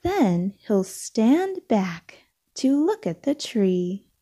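Very slow, lilting woman storybook reader. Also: a little high, very animated, dark tone. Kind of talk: read-aloud storybook narration.

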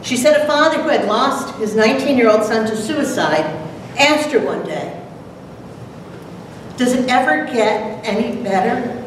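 A mature woman giving a prepared talk and dramatic poetry recital with deliberate, theatrical delivery. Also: on the high side, lively intonation. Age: middle-aged or older. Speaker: presenter, dramatic reader. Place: lecture hall, at a podium. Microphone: far camera. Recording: echoey noisy hall from far off.

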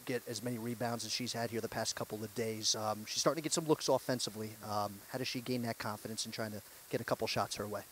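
A young man hosting a post-game show, asking upbeat, brisk questions on a handheld mic. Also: on the low side, steady tone, a bit bright, a little hiss or hum. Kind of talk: sports interview. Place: courtside set in an empty arena.

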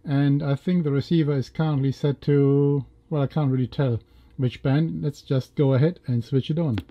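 A man in his fifties giving a casual gear demo as a hobbyist host, pausing hesitantly mid-sentence. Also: medium pitch, steady tone. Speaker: radio hobbyist and video host. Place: small indoor room full of radio gear. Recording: dull lo-fi sound with loud radio noise.

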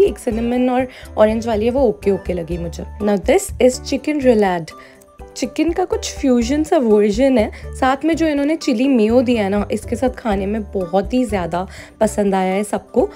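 Higher-pitched woman reviewing food. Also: unhurried, dark tone. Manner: switches between Hindi and English. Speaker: vlogger reviewing a cafe. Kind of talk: casual food review voice-over. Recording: music behind the voice. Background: background music.

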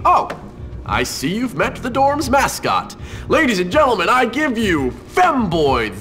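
A man's voice: high-pitched, fairly bright tone, heavily acted. A mocking, manic teenage boy schemes through a game cutscene with music behind him. His swoops are extreme, his friendliness is theatrical and dripping with sarcasm. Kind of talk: video game cutscene acting.

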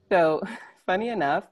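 A woman giving an informal online presentation, speaking conversationally and addressing her listeners directly. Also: medium pitch. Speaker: conference presenter. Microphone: computer mic on a video call.

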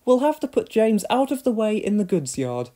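A flustered railway signalman in a children's storybook, acted by a male reader. His voice rides high as he speaks apologetically. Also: sing-song, moderate pace, mellow. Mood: flustered apology.

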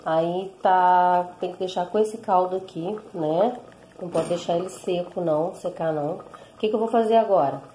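A woman's voice: on the low side, normal speed, warm tone, slight background noise. Chatty Brazilian woman cooking at home. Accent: Brazilian Portuguese. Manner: chatty, explains step by step, frequent tag questions. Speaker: home cook vlogger. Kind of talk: casual cooking tutorial. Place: home kitchen, indoors. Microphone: handheld phone camera.

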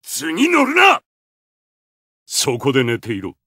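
A man's voice: mid-range voice, huge pitch swings, moderate pace, bright, forceful tone, slightly rough, dry recording, clean studio sound, exaggerated voice. A man voicing a haughty, ruthless video game supervillain with a god complex, contemptuous, menacing and commanding. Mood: aggressive, shouting. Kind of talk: Japanese fighting game voice acting.

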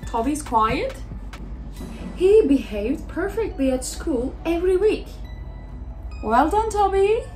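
A young woman reads a picture book aloud to children in an expressive, exaggerated manner, with short, simple asides about the pictures. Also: very high voice, slow pace, long pauses, faint music behind. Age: young adult. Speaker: children's story reader.

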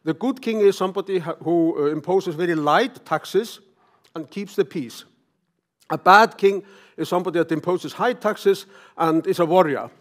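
An older man with an Icelandic accent giving a conference lecture, halting, often clearing his throat. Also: high voice. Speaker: professor giving a talk. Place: conference hall stage, at a lectern.

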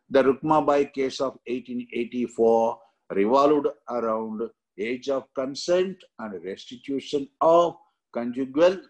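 An older man with an Indian accent lecturing on exam questions in a halting, repetitive way with frequent tags. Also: mid-range voice, poor audio. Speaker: exam coaching teacher. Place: indoor room, seated.

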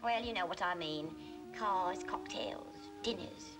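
An actress playing a sharp-tongued, gossipy English barmaid with airs in a 1940s British film. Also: female voice, lowish voice, unhurried, close to an everyday voice. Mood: proud, defensive. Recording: old 1940s film soundtrack with music.

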